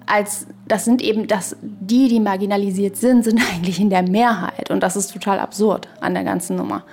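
A woman in a reflective podcast conversation, speaking in a halting, searching way and often adding little tag questions. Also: mid-range voice, steady tone, creaky, a little mellow, soft background music.

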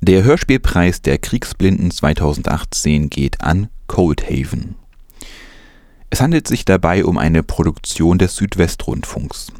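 A man's voice: sits low, very animated, a little slow, darkish tone, no room sound, clean studio sound. A man presenting news as scripted narration.

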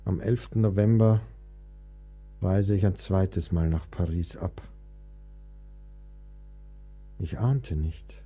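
An actor playing a proud, wounded 19th-century composer in a German radio play, with a theatrical, formal literary delivery. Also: male voice, fairly low pitch, dark tone, husky. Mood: sombre recollection.

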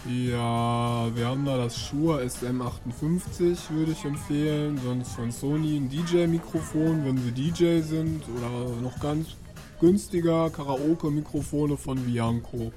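A man's voice: on the high side, fairly even intonation, unhurried, warm tone, soft background music, close to an everyday voice. A man playing a polite, matter-of-fact electronics salesman in a radio play, speaking plainly and a little hesitantly. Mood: polite, businesslike. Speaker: shop assistant. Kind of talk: radio play, everyday dialogue.

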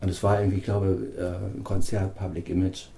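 Interviewer from northern Germany with a very dark, warm voice, recorded in a roomy space. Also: male voice, low voice, flat delivery, a little fast, slight background noise. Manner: chatty, tells long anecdotes. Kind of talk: casual interview chat. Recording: roomy echo.

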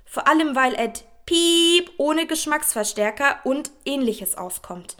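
A woman's voice: high voice, lively intonation, takes it slowly, fairly bright tone, full character voice. A woman playing a gushing Instagram influencer, reading social media posts aloud with emojis spoken as words. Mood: chatty, promotional. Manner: speaks emojis and tags out as words. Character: gushing female Instagram influencer promoting products to followers.

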